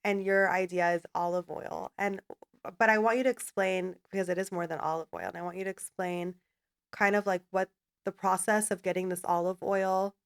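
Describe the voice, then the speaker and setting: A woman hosts a casual podcast conversation. She speaks thoughtfully at a mid pitch, affirms her guest, and circles back over her own points as she builds them. Her delivery is even and plain. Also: normal speed, smooth. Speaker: podcast host.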